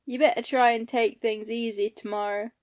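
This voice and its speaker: A woman's voice: a little high, fairly even intonation, moderate pace, lightly acted. A woman playing an ailing, easygoing farmer husband in an amateur audio play, using folksy rustic phrasing. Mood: weary, plaintive.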